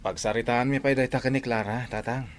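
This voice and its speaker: A man's voice: mid-range voice, fairly even intonation, fairly quick, lightly acted. A man playing an earnest, humble, devoted husband in an Ilocano radio drama, speaking with an Ilocano accent. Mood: happy, respectful.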